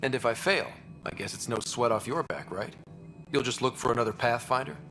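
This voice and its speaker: A man plays a defiant young explorer in a sci-fi video game. His medium-pitched voice is blunt and informal, always ready to challenge. Faint music plays behind him. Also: a little fast, fairly bright tone, lightly acted, low-quality recording. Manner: blunt, casual. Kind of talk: video game cutscene acting.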